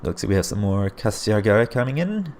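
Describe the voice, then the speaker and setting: A man casting a strategy game replay as play-by-play commentary, his voice hoarse and getting raspy, often breaking into surprised exclamations. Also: lowish voice, a little fast, a little hiss or hum. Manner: excitable, frequent surprised exclamations. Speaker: game caster.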